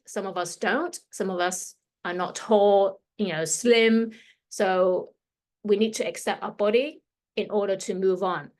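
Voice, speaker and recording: Woman, 50s, Hong Kong-London accent, chatty stylist giving a workshop over a computer mic. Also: medium pitch. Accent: Hong Kong and London English. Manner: chatty, loose, with fillers and restarts. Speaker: fashion stylist leading a workshop. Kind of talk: casual presentation to an audience.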